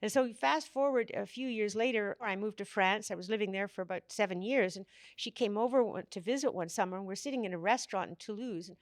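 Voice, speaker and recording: Woman, 50s, animated storyteller, dry close mic. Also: mid-range voice, quick pace, hardly any pauses, no echo. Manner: animated storyteller, quoting voices. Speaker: interviewee. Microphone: stand mic close by.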